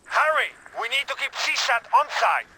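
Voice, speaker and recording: An actor playing a stern, urgent army officer giving clipped, terse orders through a military radio filter. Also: male voice, very high voice, very animated, normal speed. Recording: radio chatter under loud battle noise.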